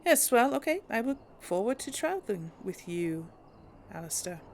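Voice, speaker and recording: A woman's voice: medium pitch, sing-song, moderate pace, a little mellow, slight background noise. A woman voicing a young noble woman warrior in a role-play, speaking politely and a little hesitantly.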